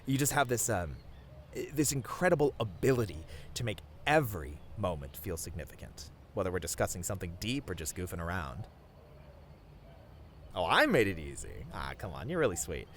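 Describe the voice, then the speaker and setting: A man playing a sweet, bashful young boyfriend in a romantic roleplay, talking to the listener in a tender, halting, earnest way. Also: fairly low pitch, a little hiss or hum, close to an everyday voice. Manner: soft-hearted, halting, sighing, chuckling, gently earnest.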